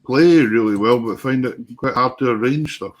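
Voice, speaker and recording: A Scottish man presents a live online music show in a mid-pitched voice. He talks casually, chatty and folksy, as if addressing listeners directly. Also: moderate pace. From Scotland.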